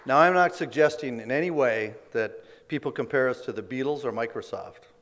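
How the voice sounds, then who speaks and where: A hoarse middle-aged man giving an award acceptance speech at a podium microphone, working through prepared remarks with small restarts and fillers. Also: mid-range voice, normal speed, a bit bright, a faint crowd, a little hiss or hum. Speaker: company leader accepting a business award. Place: large indoor banquet hall stage. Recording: long reverb of a big banquet hall.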